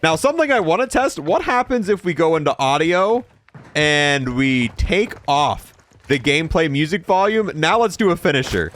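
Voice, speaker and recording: A man's voice: a little high, animated, normal speed, a bit bright, a little hiss or hum. A man hosting a gaming video with casual, playful gameplay commentary in an informal way.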